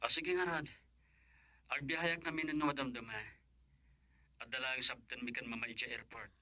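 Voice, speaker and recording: A man's voice: mid-range voice, level tone, normal speed, pushed and bright, no room sound. A man with an Ilocano Filipino accent acting in a radio drama as a courteous professional, an attentive son and suitor. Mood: gentle, courting.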